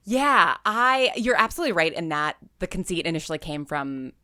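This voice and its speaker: A woman's voice: lowish voice, big pitch swings, a little fast, bright, forceful tone, dry recording, studio quality. A woman talking casually as an interview guest, rambling with lots of "like" and "you know", stressing key words. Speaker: interview guest, audio drama writer and creator. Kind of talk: casual, chatty interview answers.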